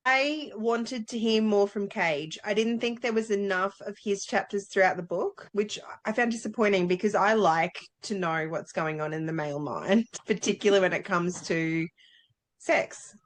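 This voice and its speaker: A woman co-hosting a book club podcast in casual conversation. She talks in a chatty, candid way, at a middling pitch for a woman. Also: normal speed. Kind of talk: casual chat about books.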